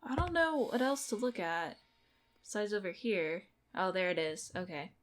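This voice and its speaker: A woman's voice: medium pitch, big pitch swings, normal speed, background noise. A woman giving casual gameplay commentary, thinking aloud as she goes. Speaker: video game streamer. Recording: game music behind the voice.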